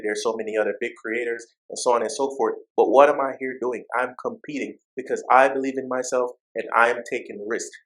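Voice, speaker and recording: A man's voice: mid-range voice, fairly bright tone, low-quality recording. A man from Jamaica with a Jamaican accent, a personal finance YouTuber talking straight to his viewers in a direct, exhorting way and repeating phrases for emphasis. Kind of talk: motivational talk to camera.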